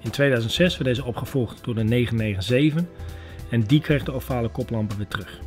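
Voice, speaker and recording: A man's voice: medium pitch, brisk, darkish tone, faint music behind. A man with a Netherlands Dutch accent, working as a host and interviewer. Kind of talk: scripted narration and interview questions.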